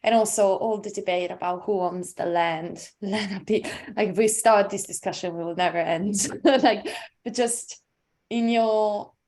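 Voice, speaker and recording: A woman's voice: medium pitch, fairly even intonation, measured pace, a little mellow. A woman from Italy asking a question on an academic panel, searching for her words with many fillers. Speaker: panel participant.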